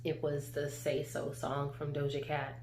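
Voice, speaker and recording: Woman, low flat voice, explaining casually, echoey room. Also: a little slow. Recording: echoey room, faint hum.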